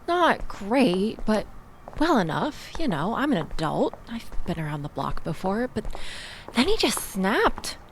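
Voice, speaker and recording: Shaken young woman, played by a woman for audio roleplay: self-deprecating, grateful, breathy sighs, mid-pitched, taking her time. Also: very animated, unhurried, slight background noise, poor audio. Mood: rattled, wry. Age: young adult. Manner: rambling, breathy sighs, wry asides. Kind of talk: intimate audio roleplay acting addressed to the listener.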